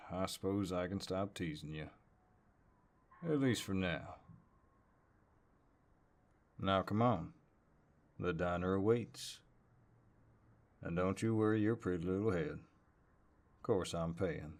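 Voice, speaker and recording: A man playing a flirtatious, easygoing cowboy neighbor with a deep voice and a folksy American Southern drawl, in an intimate roleplay audio. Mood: playful, flirtatious. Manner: folksy drawl, playful and unhurried.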